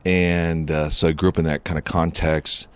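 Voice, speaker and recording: A man in his fifties with an extremely low voice, telling his life story as a podcast guest. He talks reflectively and earnestly, with plenty of fillers. His first language was Japanese, and he grew up in Southern California. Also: level tone, moderate pace, clean tone. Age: 50s. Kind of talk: relaxed storytelling interview.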